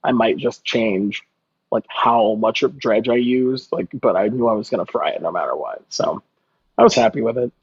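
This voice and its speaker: A man's voice: on the low side, moderate pace, slightly rough. A man chatting casually as a chef guest in an interview, telling stories informally and quoting people as he goes. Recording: slightly dull, lo-fi audio.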